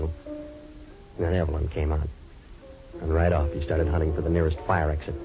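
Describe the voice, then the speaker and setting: A dull vintage radio recording carries a hardboiled American noir hero. He talks very quickly in a low voice. His delivery is clipped, deadpan and sardonic. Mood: deadpan narrating. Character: tough wisecracking waterfront troubleshooter, a hardboiled man. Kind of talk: 1940s radio noir acting and first-person narration. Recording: dull old radio recording, faint music.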